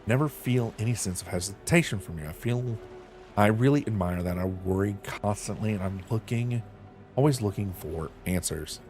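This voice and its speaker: A man's voice: lowish voice, moderate pace, darkish tone, soft background music. A young man streaming a game, reading the dialogue aloud and chatting in a loose, self-mocking way.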